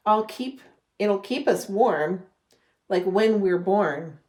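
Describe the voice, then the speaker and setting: A woman in her thirties reads a picture book aloud for children. Her pace is slow and deliberate, at an average pitch, with gentle phrasing. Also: animated. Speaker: religious education director reading a children's story. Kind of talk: storybook read-aloud. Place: living room at home.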